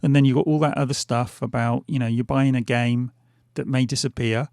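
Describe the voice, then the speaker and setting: Gravelly, hesitant man. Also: mid-range voice, little pitch movement, brisk, darkish tone, dry recording, studio quality. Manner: rambling, hesitant, many fillers and restarts. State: losing his voice. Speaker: gaming channel host. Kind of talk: casual gameplay commentary. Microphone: headphones on, desk mic.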